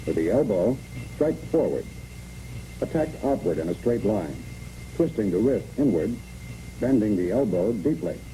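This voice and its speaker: Dark-voiced American man narrating a training film. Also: on the low side, very animated, normal speed, long pauses. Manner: clipped, imperative, step-by-step delivery. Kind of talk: scripted instructional military narration, 1960s film. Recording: noisy, muffled 1960s training film soundtrack.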